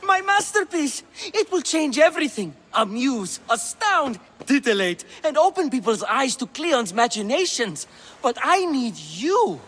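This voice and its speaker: An actor playing a self-important, fretful Greek playwright in a video game, with a theatrical, fussy delivery. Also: male voice, very high voice, very animated, unhurried, fairly bright tone, long reverb tail, heavily acted. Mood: grandiose.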